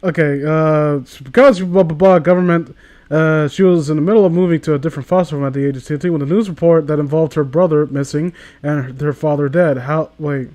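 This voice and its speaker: A man's voice: a little high, fairly even intonation, a little fast, darkish tone. A man hosting a comedy reading, commenting casually as he reads aloud, with a sarcastic, foul-mouthed manner. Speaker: host of a comedy reading channel.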